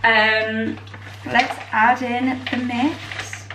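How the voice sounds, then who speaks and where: A young woman in her twenties, tired and a little croaky, chatting in a bubbly way to her camera while she bakes in her home kitchen. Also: on the high side, flat delivery, extremely slow, noisy background. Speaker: vlogger. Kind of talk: casual chat. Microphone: far camera. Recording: echoey kitchen from far off.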